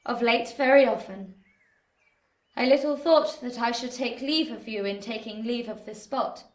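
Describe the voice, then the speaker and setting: An actress playing a gentle, sorrowful Victorian woman in a period radio drama, earnest and formal. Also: on the high side, moderate pace, lightly acted. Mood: sorrowful, pleading. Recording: echoey room, environmental noise.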